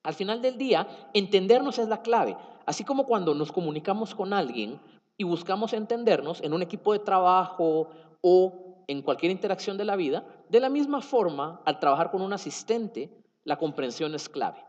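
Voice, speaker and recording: A man's voice: high-pitched, lively intonation, moderate pace, a little mellow. A man with a Guatemalan Spanish accent giving a tech conference talk, explaining with fillers and self-corrections. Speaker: conference speaker. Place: conference hall. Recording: dull sound in a reverberant hall.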